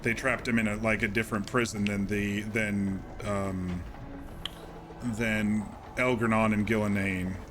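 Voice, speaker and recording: A man streaming a game, casually recapping events in a calm, rambling way with many fillers and restarts. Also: on the low side, unhurried, over music.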